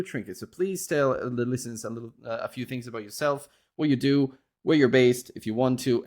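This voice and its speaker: Man, medium-pitched voice, podcast host, conversational style, polite, wordy. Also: a little mellow.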